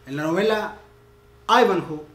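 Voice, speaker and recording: Man, literary talk host, fairly high voice, declaims poems aloud, reverberant room. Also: unhurried, a bit bright. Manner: reads translated poems aloud with raised, declaiming voice. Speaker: writer leading a literary talk. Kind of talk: literary talk with poetry reading. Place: room in a house.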